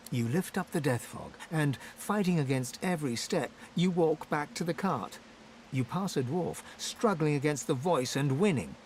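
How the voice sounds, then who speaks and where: Expressive dark-fantasy narrator. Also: male voice, fairly high pitch, a little hiss or hum. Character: solemn, vivid storyteller narrator of a dark fantasy. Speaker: game narrator. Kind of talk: scripted second-person game narration.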